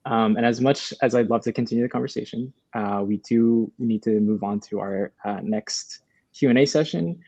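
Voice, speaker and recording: A young man hosts politely in a dark, relaxed voice. The sound is dry and a bit dull, as over a video call. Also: medium pitch, moderate pace, dry recording. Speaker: Q&A moderator. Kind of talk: polite, casual hosting. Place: room at home.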